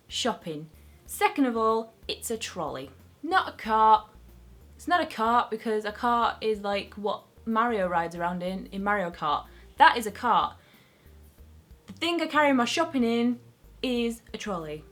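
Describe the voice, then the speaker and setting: A woman's voice: mid-range voice, fairly bright tone. A young woman with a Northern English accent, chatting casually to camera as a beauty vlogger in a rambling, self-mocking way.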